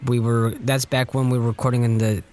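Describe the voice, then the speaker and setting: A young man co-hosting a podcast, telling stories in relaxed, self-mocking casual chat. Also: medium pitch, a little fast. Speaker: podcast co-host.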